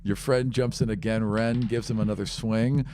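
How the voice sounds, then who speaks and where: A man's voice: fairly low pitch, steady tone, normal speed, mellow, a little hiss or hum. A man acting as game master, narrating a tabletop fantasy game with vivid, dramatic description and casual asides.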